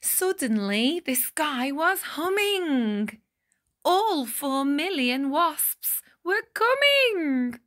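A woman reading a rhyming children's storybook aloud with deliberate, dramatic phrasing. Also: high voice, big pitch swings, a bit bright. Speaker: picture book reader.

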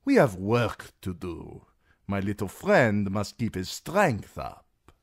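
A man putting on a fat, self-satisfied merchant lord who purrs his taunts in a genial, drawn-out, theatrical way. The voice sits noticeably higher than a plain deep baritone and swings widely in pitch. It is recorded dry and close. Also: big pitch swings, dry recording, full character voice. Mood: jovial. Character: fat, jovial merchant magister, smug and needling. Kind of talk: audiobook character reading.

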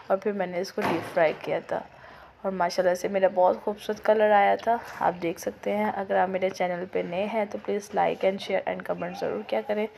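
A middle-aged Pakistani woman, a little unwell, giving an informal step-by-step recipe voice-over with hesitant pauses. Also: medium pitch, gravelly, a little mellow. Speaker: home cook and video host. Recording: noticeable background noise, home recording.

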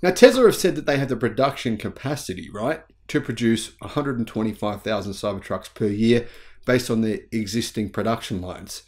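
A man in his forties hosting a news commentary video in an emphatic, conversational way. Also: on the low side. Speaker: YouTube channel host. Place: small home room, indoors.

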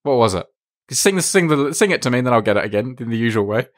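A man hosts a podcast in casual conversation. His mid-pitched voice moves up and down with lively intonation. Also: quick pace. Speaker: podcast host. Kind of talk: casual chat.

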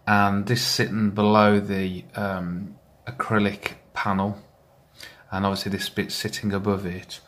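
A man giving a measured, methodical walkthrough of a hobby build, explaining it step by step. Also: fairly low pitch, a bit bright. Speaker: hobbyist builder presenting his project.

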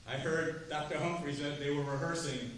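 A middle-aged man giving a drum clinic to students, chatty and lively, asking the room questions. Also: fairly high pitch, normal speed. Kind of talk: percussion clinic talk to students. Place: large rehearsal hall, standing before seated students. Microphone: far camera, no mic. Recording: roomy hall from far off, faint noise.

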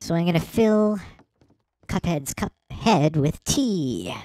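A woman hosting a let's play, giving excitable, playful game commentary with gasps and shrieks at surprises. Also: on the low side, slow pace, dry recording, clean studio sound.

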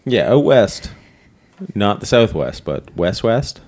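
Man, podcast co-host, lively pitch, jokey and profane. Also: takes it slowly. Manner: joking, swears casually. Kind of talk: casual chat.

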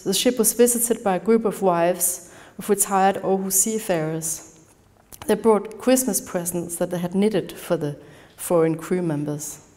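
A woman from Denmark with a Danish accent, giving an academic conference talk in a measured manner, partly reading from a prepared text. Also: medium pitch, dark tone. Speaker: conference speaker. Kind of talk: academic lecture. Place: large darkened lecture hall.

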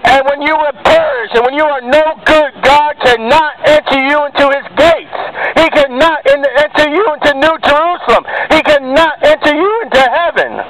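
A man giving a declamatory open-air street sermon in short, emphatic phrases broken by long pauses. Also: very high-pitched, crowd noise. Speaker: street preacher. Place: outdoors on a street corner by a road. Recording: noisy outdoor street with passing vehicles. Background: street ambience and traffic.

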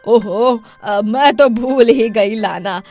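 A woman's voice: fairly high pitch, slow pace, a little mellow, faint music behind. A woman playing a dismissive middle-aged mother-in-law in an acted family story, with a colloquial, chiding way of talking. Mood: evasive. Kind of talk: acted dialogue for an animated moral story.

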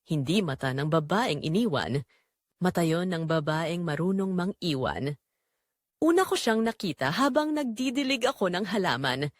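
Woman narrator with lively, swooping pitch. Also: no echo, clean studio sound. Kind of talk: scripted first-person audio drama narration.

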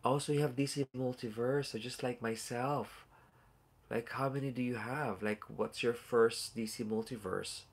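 A man's voice: medium pitch, fairly even intonation, normal speed, breathy edge. A man in his forties with a stuffed-up, nasal voice, chatting casually and rambling with live viewers from a small room at home, recorded on a phone or webcam at arm's length. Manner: rambling and chatty, full of fillers and asides to viewers. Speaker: toy collector hosting a live unboxing. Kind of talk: casual live-stream chat.

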